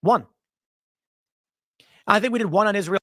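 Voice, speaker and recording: Guy from chicago ranting about politics, sarcastic and pushy, highish voice. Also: normal speed, a bit bright, no room sound, clean studio sound. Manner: emphatic, sarcastic, argumentative. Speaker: political podcaster. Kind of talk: political commentary monologue.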